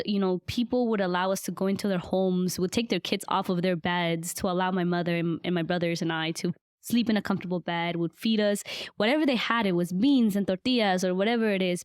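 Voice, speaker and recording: A woman's voice: medium pitch, no room sound, clean studio sound. A woman in her twenties from Guatemala, a podcast guest telling her life story in an earnest, reflective way, with frequent fillers. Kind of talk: conversational life-story interview.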